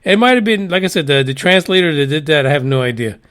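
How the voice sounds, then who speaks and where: A man's voice: on the high side, animated, fast, fairly bright tone. A man hosting a casual news podcast, cursing freely, laughing at himself and drifting into rambling asides. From United States. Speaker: podcast host.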